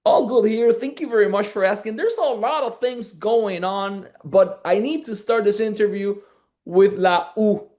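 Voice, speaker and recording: A man's voice: high voice, unhurried, a little mellow. A man hosting a wrestling interview, eager and talkative as he asks his questions. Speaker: interview host. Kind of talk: energetic sports interview.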